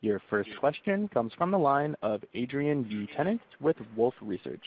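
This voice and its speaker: A man's voice: medium pitch, very animated, moderate pace, slightly rough. A male operator comes through on a narrow, tinny phone line. He delivers scripted call instructions in a formal, procedural manner, with a mid-range voice. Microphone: telephone.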